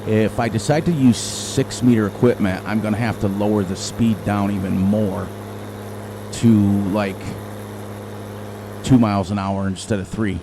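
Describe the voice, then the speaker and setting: A middle-aged man with a loud voice, rambling and thinking aloud as he comments casually on his gameplay into a desk microphone. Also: lowish voice, fairly even intonation, normal speed, vocal fry. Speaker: gaming channel host. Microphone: desk microphone turned down low. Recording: game engine noise behind.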